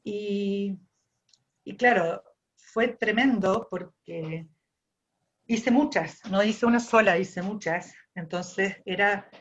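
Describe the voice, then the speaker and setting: Argentine woman, ceramic artist guest. Reflective storyteller, circles back on her words. Mid pitch, slightly dull recording. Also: slow. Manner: thinks aloud, repeats and restarts phrases.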